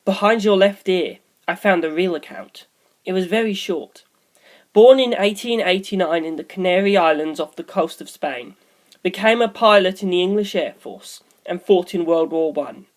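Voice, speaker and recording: A woman voicing a candid, probing man in an audiobook, with a deliberate, matter-of-fact delivery. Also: lowish voice, natural voice. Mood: grave, puzzled. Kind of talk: audiobook character dialogue.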